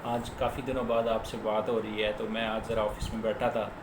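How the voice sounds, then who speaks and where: A man's voice: on the low side, level tone, a little fast. A man with a Pakistani accent giving an informal law lecture as a lawyer, explaining step by step, repeating phrases and mixing in English legal terms. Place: indoors, office. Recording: echoey room with clear background noise.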